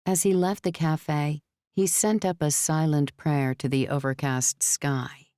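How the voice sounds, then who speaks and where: A woman's voice: deep voice, steady tone, unhurried, dark tone, no echo, studio quality. A woman reading scripted novel narration as an audiobook narrator.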